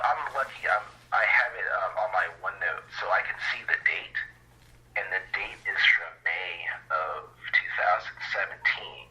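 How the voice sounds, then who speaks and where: A man's voice: lowish voice, bright, forceful tone, muffled recording. A man talking as a guest about his own poems and songwriting. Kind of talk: casual interview talk about own writing.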